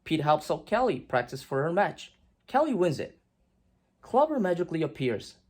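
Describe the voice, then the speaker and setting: A woman reads a children's picture book aloud with plenty of expression. Her voice is slightly low and husky, and it swings widely in pitch, dropping to a hush and rising again for emphasis. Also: very animated, normal speed. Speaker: storyteller reading aloud.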